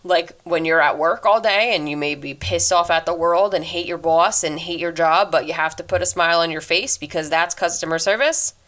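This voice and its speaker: A woman in her twenties hosting a solo podcast, talking earnestly and reflectively into her microphone, fast by habit, with a deep voice. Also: a bit bright. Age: 20s. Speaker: podcast host. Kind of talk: casual solo advice talk.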